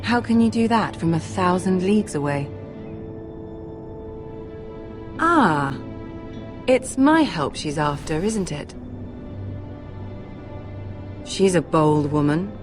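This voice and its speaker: A woman's voice: on the low side, lively intonation, measured pace. Young court noblewoman, poised, shrewd, arch; fantasy game, light music bed. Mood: shrewd, guarded. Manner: refined, arch. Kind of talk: dramatic video game acting.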